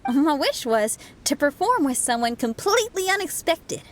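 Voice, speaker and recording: A woman's voice: sits high, sing-song, normal speed, slight background noise. An actress voicing a bold, frank young female rock musician with a straightforward, easygoing manner in a game. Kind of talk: game voice acting.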